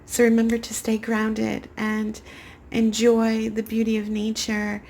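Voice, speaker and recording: A young woman giving a rambling spiritual tarot reading to camera, her voice raspy as she gets over a cold. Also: a little high, completely flat delivery, measured pace, slight background noise. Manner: soft, meandering spiritual talk with many fillers. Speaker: tarot card reader.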